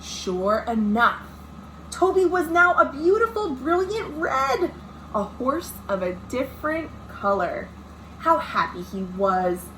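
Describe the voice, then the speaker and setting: A woman's voice: high voice, very animated, slow pace, sharp tone. A woman, a children's librarian, reads a picture book aloud with dramatic emphasis, speaking directly to young listeners. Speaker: children's librarian hosting storytime. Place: indoors at home. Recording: noisy amateur home recording.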